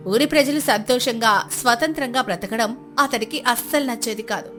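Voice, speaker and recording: Female narrator for moral tales: scripted storytelling, fairly high voice, clear background music. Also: fairly bright tone.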